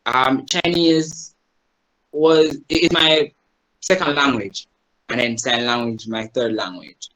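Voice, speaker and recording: A man's voice: medium pitch, slow pace, fairly bright tone, low-quality recording. Jamaican guy giving a talk over a video call, explains stuff, keeps asking right?. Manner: explanatory, asks the audience "right?" often. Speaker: guest presenter, Chinese teacher. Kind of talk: online presentation to a group.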